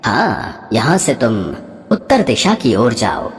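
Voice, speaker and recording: A man voicing a calm wandering ascetic in a fairy tale, speaking in a measured, solemn manner. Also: medium pitch. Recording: long reverb.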